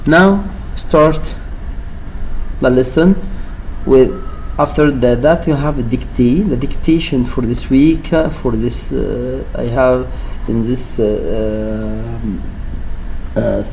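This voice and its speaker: A man's voice: mid-range voice, slow, vocal fry, darkish tone, a little hiss or hum, poor audio. A man teaching a French vocabulary lesson, switching between French and English and repeating each word for learners. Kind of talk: flipped-class vocabulary lesson.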